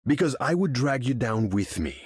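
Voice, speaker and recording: A man's voice: medium pitch, big pitch swings, normal speed, husky, no room sound, studio quality. An actor playing a proud, imperious sea king in a video game, speaking in a grand, formal way. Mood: proud, scornful. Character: proud, imperious undersea king, haughty and vengeful. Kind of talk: video game character voice lines.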